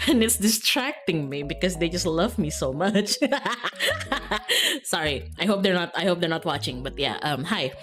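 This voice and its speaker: A woman chatting casually as a livestreamer, bubbly and rambling in manner. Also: mid-range voice. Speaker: streamer and VTuber. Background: background music.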